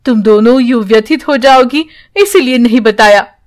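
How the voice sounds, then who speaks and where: A woman's voice: fairly high pitch, moderate pace, close to an everyday voice. A woman voicing a worried, protective village mother in a children's story, anxious and emotional. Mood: concerned.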